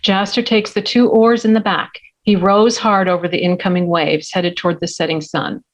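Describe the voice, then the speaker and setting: A woman's voice: fairly low pitch, little pitch movement, moderate pace. A woman reading scripted screenplay stage directions as narrator at a table read. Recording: dull, lo-fi remote audio.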